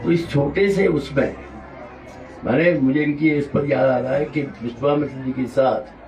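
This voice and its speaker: A man with a sore throat gives a slow, reflective devotional discourse, wandering between points and explaining his terms. His voice sits at an ordinary pitch. It was recorded from a distance in an echoey hall. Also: creaky, darkish tone, some chatter behind. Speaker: spiritual teacher. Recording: roomy hall audio, mic at a distance.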